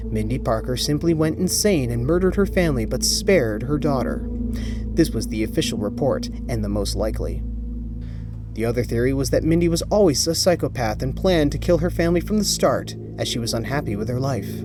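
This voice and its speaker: A man narrating a scripted horror story in a dramatic, measured way, pausing for suspense. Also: medium pitch. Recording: music behind the voice. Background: music.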